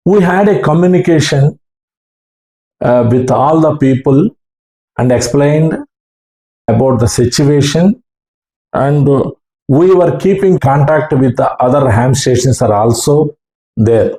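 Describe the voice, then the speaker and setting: A man with a South Indian accent recounting his experience as an amateur radio operator in halting, earnest short phrases. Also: mid-range voice, dark tone. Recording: roomy, echoing room sound.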